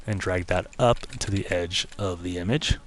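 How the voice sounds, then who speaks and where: A man with a slightly low voice guides a software tutorial step by step. He sounds relaxed and easygoing, with casual fillers. His voice is a little worn, with the odd throat-clear. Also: normal speed. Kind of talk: screen-recorded software tutorial.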